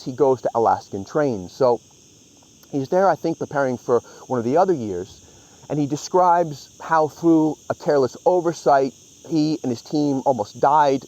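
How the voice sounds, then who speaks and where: A man's voice: on the high side, a little mellow, muffled recording. A man giving a casual, opinionated book review, talking in a hesitant, conversational way as he thinks aloud.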